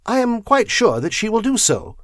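A man's voice: sits high, very animated, normal speed, fairly bright tone, dry recording, studio quality, voice pushed up. A man voicing a polite, cautious English solicitor, earnest and a little hesitant, in an audiobook story.

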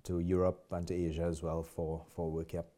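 Trinidadian male guest, fifties, very low and warm, polite conversational delivery. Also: completely flat delivery, brisk. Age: 50s. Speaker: podcast guest, martial artist. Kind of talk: casual interview chat.

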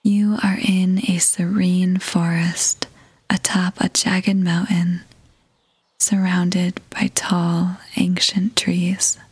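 Female meditation guide: soft breathy near-whisper, very slow, even pitch, gentle and soothing. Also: dry recording. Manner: soothing, gentle, measured with long pauses. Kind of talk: guided meditation, scripted narration. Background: music.

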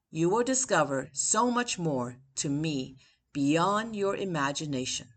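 A woman with a notably low-pitched voice reads her own personal essay aloud. She takes it at a measured, deliberate pace, pausing for emphasis between phrases. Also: a bit bright. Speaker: author and podcast host.

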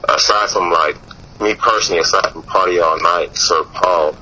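Bright-voiced man, rambling casual talk. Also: sits low, moderate pace, low-quality recording. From Mississippi. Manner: relaxed, rambling, many fillers and restarts. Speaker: guest musician. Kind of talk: casual interview.

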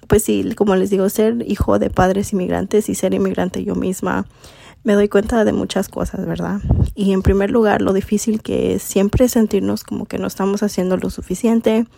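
Gravelly-voiced young woman podcast host. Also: medium pitch, dark tone, no echo. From Mexico. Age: 20s. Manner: chatty, many fillers like "pues" and "¿verdad?". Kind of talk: casual podcast monologue.

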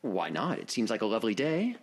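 A man playing a nervous, wistful client revisiting his boyhood in a radio drama, with a halting, intense manner. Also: on the low side, very animated, slight background noise. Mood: awed, wistful.

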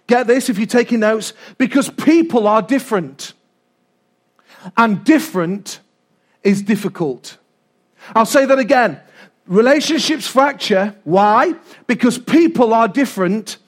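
High-pitched English preacher, a middle-aged man. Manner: animated, loud, conversational, repeats phrases for emphasis. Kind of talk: informal, humorous sermon to a congregation.

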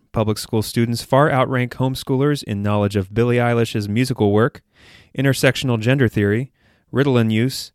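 A man's voice: mid-range voice, smooth, no echo, clean studio sound. A man hosting a podcast, reading a satirical news report in a mock-serious newsreader delivery. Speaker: podcast host.

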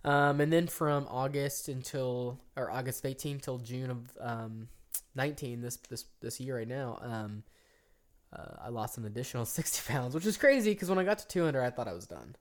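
A man in his early thirties co-hosting a casual podcast, talking in long rambling stretches with frequent ums and restarts that jump into animated bursts. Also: mid-range voice, normal speed. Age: 30s. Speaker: podcast co-host. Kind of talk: casual chat.

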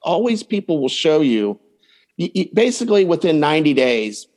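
A man's voice: on the high side, very animated, moderate pace, a little mellow. A man speaking as a coach and podcast guest, giving plain-spoken advice in casual talk and acting out example lines.

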